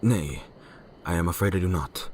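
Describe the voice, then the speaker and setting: A man playing a reserved, courteous lord in an audio drama, speaking formally and haltingly. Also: sits low, a little mellow, slight background noise, lightly acted. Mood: concerned. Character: reserved, courteous male lord, awkward and hesitant in love. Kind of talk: fan audio drama acting.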